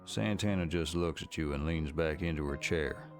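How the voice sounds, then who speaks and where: A man's voice: rumbling low, normal speed, soft background music. A man performing scripted second-person narration as the storyteller of a Wild West mystery game. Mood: measured.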